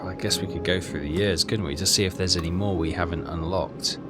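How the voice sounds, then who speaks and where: A man with a fairly low voice talks through a game over loud music. He is casual and hesitant, thinking aloud and reading bits of text as he plays. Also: fairly even intonation, fairly quick. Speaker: game walkthrough player.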